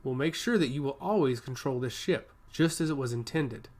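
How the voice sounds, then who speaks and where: A man's voice: medium pitch, fairly quick, a little mellow, lightly acted. A man voicing a humble, protective island prince in an earnest, reassuring manner. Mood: grateful.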